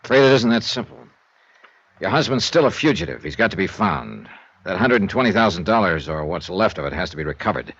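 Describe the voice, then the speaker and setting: A low-voiced hardboiled radio investigator. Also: male voice, sing-song, brisk, fairly bright tone, husky, heavily acted. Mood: sympathetic, firm. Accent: American. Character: hardboiled, sympathetic male insurance investigator in noir radio. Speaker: lead detective and narrator. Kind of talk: 1950s American radio drama acting. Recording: dull vintage radio recording.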